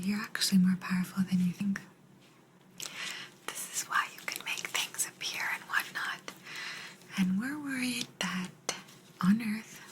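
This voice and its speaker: A woman playing a gentle, patient alien abductor in a soft, breathy ASMR whisper, confiding closely in the listener. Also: mid-range voice, slight background noise, close to an everyday voice, rough recording. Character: gentle, apologetic female alien abductor explaining a simulation.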